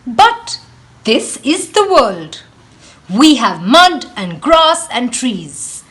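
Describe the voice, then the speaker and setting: A woman's voice: sits high, big pitch swings, extremely slow, a bit bright, full character voice. A woman voicing stodgy, disapproving, anxious grown rhinoceroses in a storybook, with emphatic scolding. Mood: scornful, disapproving.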